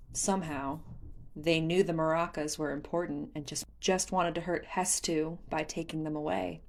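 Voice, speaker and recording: A woman's voice: low voice, a little mellow. A woman reading scripted story narration as the narrator of a fan fiction audiobook.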